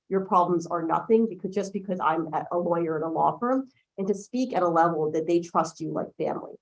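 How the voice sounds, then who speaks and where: Gravelly low-voiced woman lawyer. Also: low-quality recording. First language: Chinese. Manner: chatty, tags points with "right?". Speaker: lawyer, panel guest. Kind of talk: conversational panel talk. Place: conference room, indoors.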